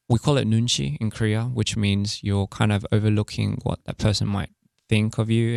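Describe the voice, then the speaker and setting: A young man from Australia with a low voice and a gravelly, fry-edged texture. He talks in a laid-back, halting way, often pausing to find his words. The studio sound is dry and close. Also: no echo. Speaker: musician guest. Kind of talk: casual radio interview. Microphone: studio microphone.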